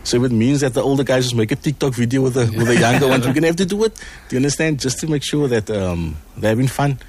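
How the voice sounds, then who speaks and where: A man, speaking earnestly in an interview as a choir executive, with many pauses and repeated words. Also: medium pitch, darkish tone, breathy edge. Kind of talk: casual interview chat.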